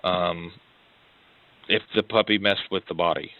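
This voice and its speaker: A low-pitched American man, a police detective, explains himself apologetically and haltingly while asking questions. He comes through on a dull, lo-fi phone recording. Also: fairly even intonation.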